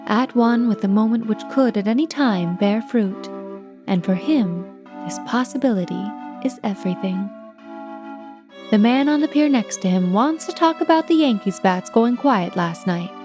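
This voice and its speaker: A woman playing a poised, poetic telephone operator who hosts and narrates a radio anthology in a florid, deliberate, theatrical manner. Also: mid-range voice, lightly acted. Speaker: host and narrator.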